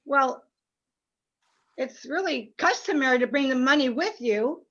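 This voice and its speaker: A woman's voice: high voice, expressive, a little slow, a bit bright, heavily acted. A woman playing a polite, patient small-town bank teller in a radio play. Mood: politely helpful. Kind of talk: community theatre radio play acting. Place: home, over video call. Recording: muffled video call audio.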